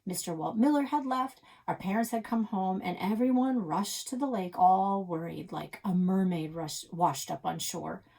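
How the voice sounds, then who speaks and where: A woman's voice: medium pitch, normal speed, creaky, hardly any pauses, dark tone. A woman reading a children's novel aloud as its first-person narrator, expressively, leaning on key words. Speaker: read-aloud reader for a public library.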